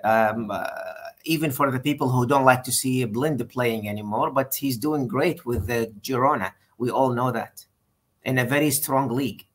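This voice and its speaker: Measured middle-aged man, mid-pitched. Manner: deliberate, many "uh" fillers, slightly formal phrasing. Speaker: co-host. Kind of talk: casual football chat on a video call. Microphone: video call.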